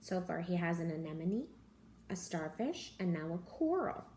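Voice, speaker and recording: A young woman, a teacher, reading a picture book aloud to children at home in a gentle, animated storytelling manner. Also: lowish voice, moderate pace, slight background noise. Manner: gentle, animated storytelling with gasps and character voices. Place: living room at home.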